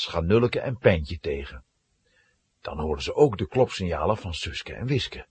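A man's voice: fairly low pitch, darkish tone, breathy edge, no echo. A man narrating a scripted children's audio play in a dramatic storytelling manner.

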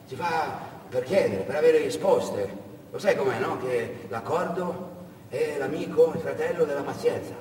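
Guy doing a gentle old man calming folks down, soft coaxing, stage story, roomy echo. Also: a little high, a little slow, close to an everyday voice. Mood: placating. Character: old man, placating, patient, gentle peacemaker. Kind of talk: theatrical storytelling monologue. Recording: echoey hall, distant mic, faint noise.

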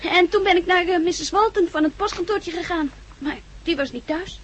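A very high little girl's voice, from a 1960s radio play. She is a nine-year-old orphan, brave yet frightened, who chatters in a childlike way and repeats words hesitantly. There is some noise in the old recording. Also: a little fast. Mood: matter-of-fact, sad. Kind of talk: 1960s Dutch radio drama acting.

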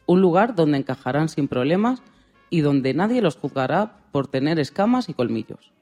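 A man's voice: on the high side, a little mellow. A man co-presenting a radio programme, narrating from a script.

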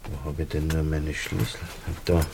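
An actor playing a nervous, self-justifying barber in a radio drama, rambling colloquially with a Viennese accent. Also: male voice, a bass voice, steady tone, normal speed, vocal fry, very noisy. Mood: muttering, flustered. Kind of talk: naturalistic radio drama dialogue.

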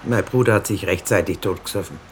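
An actress playing an older, dry and blunt police sergeant with a husky voice in a radio drama. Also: female voice, very deep voice, fast, slight background noise. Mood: dry, probing.

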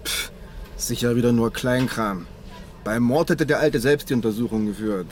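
Guy playing a grumbly bookish man, dry delivery, middle pitch, slight noise behind. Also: moderate pace, darkish tone, close to an everyday voice. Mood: grumbling, dry. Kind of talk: radio drama acting.

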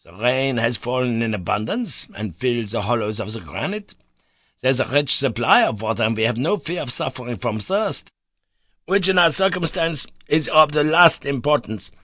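An eccentric elderly professor full of unnatural glee, as a man reads him aloud. His voice leaps well above the reader's own into bright exclamation, recorded dry and close. He sounds eager and excitable in a spoken storybook. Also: moderate pace, no echo. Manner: laughs, exclaims with high glee.

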